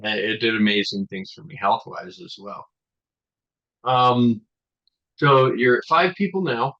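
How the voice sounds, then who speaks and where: A man's voice: medium pitch, measured pace, pushed and bright. A middle-aged man hosting an interview, asking long, winding questions with plenty of fillers. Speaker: podcast host. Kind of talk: conversational interview. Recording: dull lo-fi sound.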